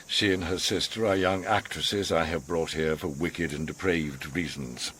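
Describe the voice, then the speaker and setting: An actor playing a manipulative, eccentric older man in a radio drama, measured, formal and theatrically commanding. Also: low-pitched, steady tone, a bit bright, husky, full character voice. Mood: measured, knowing. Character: manipulative, eccentric, authoritative older male host, a self-styled psychiatrist.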